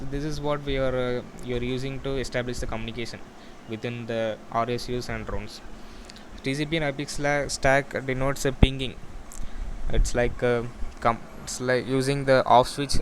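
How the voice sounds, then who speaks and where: A man giving an unscripted technical screen-share walkthrough in a halting, repetitive, explanatory way. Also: medium pitch, noisy background. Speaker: student or developer presenting a project.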